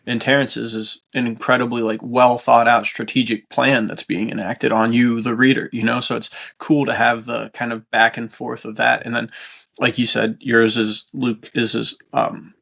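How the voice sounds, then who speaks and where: Gravelly, enthusiastic man chatting casually. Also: mid-range voice, little pitch movement, normal speed, fairly bright tone. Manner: enthusiastic, slangy, informal. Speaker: podcast guest, author. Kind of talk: casual chat.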